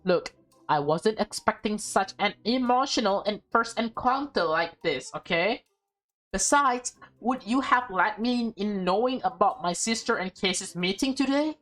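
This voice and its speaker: A woman's voice: mid-range voice, expressive, measured pace, fairly bright tone, soft background music. A woman voicing an awkward, earnest teenage schoolboy in a visual novel, hesitant and self-justifying. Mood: defensive.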